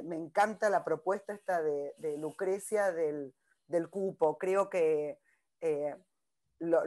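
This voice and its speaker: A woman on a round-table panel, talking slowly in a lowish voice. She stops and restarts often and leans on fillers while she works out her thought. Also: a little mellow. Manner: halting, repeats words, many fillers.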